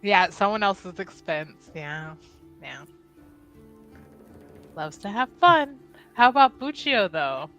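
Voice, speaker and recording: A woman's voice: mid-range voice, expressive, takes it slowly, pauses often, fairly bright tone, faint music behind. A woman playing in an online tabletop roleplaying game, laughing often and thinking aloud with hesitant questions. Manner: giggly, hesitant, talks through ideas. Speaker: player.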